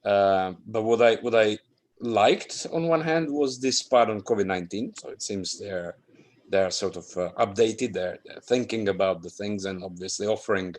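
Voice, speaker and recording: A man's voice: on the low side, moderate pace. A man taking part in a workshop, speaking informally and hesitantly, sometimes reading passages aloud. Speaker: workshop participant.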